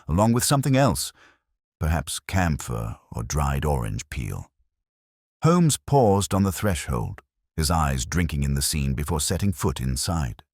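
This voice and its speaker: A very deep-voiced man narrates a period detective tale. His pitch moves expressively through each line, and the sound is dry, with little room in it. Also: a little mellow, no room sound. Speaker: audiobook narrator. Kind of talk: scripted dramatic narration of a Victorian detective story.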